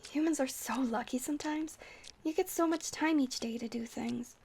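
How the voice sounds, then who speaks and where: A woman's voice: high voice, normal speed, vocal fry, a little hiss or hum. A woman playing a shy, friendly vampire who rambles hesitantly and flirts sweetly in an ASMR roleplay. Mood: awkward, chatty. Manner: hesitant, rambling, soft-hearted, awkwardly stammering.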